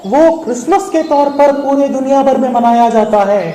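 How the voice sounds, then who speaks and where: High-pitched cheeky monkey, echoey. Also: male voice, moderate pace, warm tone. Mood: earnest. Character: small cheeky talkative male monkey, wary but helpful. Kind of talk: puppet show dialogue. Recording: echoey hall with background noise.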